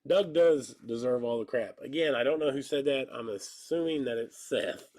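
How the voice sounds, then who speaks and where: A man in his thirties with a stuffy nose and slightly hoarse voice, chatting casually and joking while he hosts a live card break. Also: medium pitch, unhurried, a little mellow. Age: 30s. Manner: rambling, joking, calls out card pulls. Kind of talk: casual live-stream chat while opening cards.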